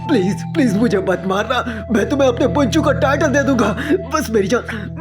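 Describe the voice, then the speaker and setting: A man playing a swaggering high-school gang boss who turns cowardly when scared, in an anime fan dub. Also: normal speed, music in the background. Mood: terrified pleading.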